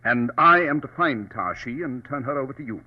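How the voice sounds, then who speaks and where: Actor as commanding Sherlock Holmes, muffled radio recording. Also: male voice, medium pitch, animated, fairly quick, close to an everyday voice. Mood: cool, probing. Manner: clipped, formal, authoritative. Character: Sherlock Holmes, commanding, coolly determined gentleman detective. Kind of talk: 1940s radio drama acting. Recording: muffled old radio broadcast, music behind.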